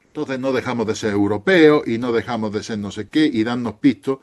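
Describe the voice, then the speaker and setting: A man's voice: medium pitch, fairly quick, a little mellow. A man with a Spain Spanish accent, a guest author arguing points on history emphatically in an interview, often restarting his phrases.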